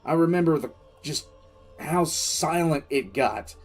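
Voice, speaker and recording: A man playing a grim, shaken military commissar who recounts events in a halting, reflective way for an audio drama. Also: on the high side, lively intonation, soft background music. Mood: tense, haunted. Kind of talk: dramatic first-person audio drama narration.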